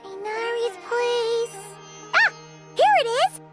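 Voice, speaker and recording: A woman voicing a small, chatty fairy girl companion in a video game, childlike and squeaky. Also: very animated, slow pace, a bit bright, faint music behind, full character voice. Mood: excited.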